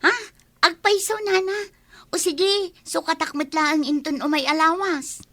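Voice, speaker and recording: A woman's voice: very high voice, steady tone, a little slow, a bit bright, dry recording. A woman acting a meek, apologetic newcomer to the village, with an Ilocano accent, in a comedy radio drama. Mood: grateful, surprised. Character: apologetic young newcomer woman asking for credit.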